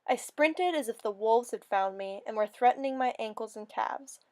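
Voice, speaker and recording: A woman giving a theatrical, expressive dramatic reading of a first-person fairy story, as a storyteller. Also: fairly high pitch, normal speed.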